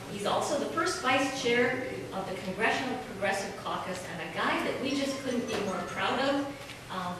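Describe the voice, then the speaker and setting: A woman, a state assembly representative, giving a friendly, chatty introduction at a town hall. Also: on the high side. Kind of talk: casual public introduction. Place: community hall. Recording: echoey hall, noisy, voice from far off.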